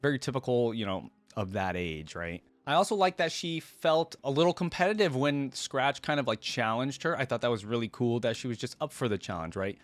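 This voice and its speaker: A man's voice: mid-range voice, expressive, fairly quick, few pauses, no echo, soft background music. A man giving chatty, musing off-the-cuff reaction commentary, close to the microphone. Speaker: reaction video host.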